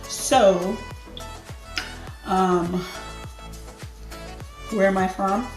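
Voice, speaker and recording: A woman's voice: mid-range voice, steady tone, drawn-out words, darkish tone, roomy sound, faint music behind. A Jamaican woman in her thirties, chatting casually and unhurriedly through a get-to-know-me Q&A for her channel, laughing easily. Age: 30s. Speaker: lifestyle YouTuber.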